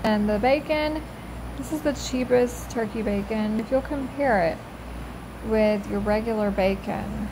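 A woman's voice: medium pitch, creaky, noisy background. A middle-aged woman, chatting through a casual voice-over about her weekly shopping. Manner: chatty, slow, bargain-focused, says y'all. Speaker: frugal living vlogger.

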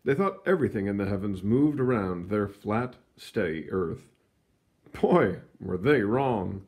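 Animated man reading a picture book aloud. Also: on the low side. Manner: animated, storytelling delivery with drawn-out emphasis. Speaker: read-aloud reader. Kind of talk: expressive picture-book read-aloud with casual asides.

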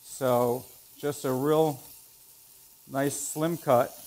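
A man in his forties, a chef instructor, explaining each step in a relaxed way as he cooks in a large teaching kitchen. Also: medium pitch, drawn-out words, poor audio. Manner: relaxed, explanatory, thinking aloud while working. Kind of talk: cooking demonstration. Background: food sizzling in a pan.

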